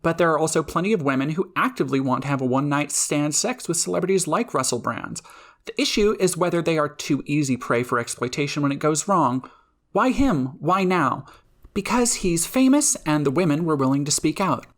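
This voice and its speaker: A woman's voice: sits low, expressive, fairly quick. A woman reading someone's written opinion aloud as a guest voice.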